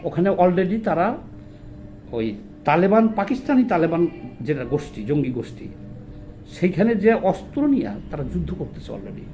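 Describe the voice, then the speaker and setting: A man's voice: high-pitched, sing-song, moderate pace, pauses often, warm tone. A man with a Bangladeshi accent delivering an impassioned political monologue, indignant and emphatic, often putting rhetorical questions. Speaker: political commentator.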